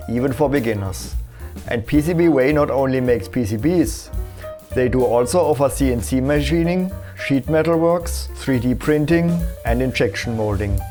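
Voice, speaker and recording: Male tech presenter, scripted explainer, mellow timbre, measured delivery, faint background music. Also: mid-range voice. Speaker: electronics tutorial presenter.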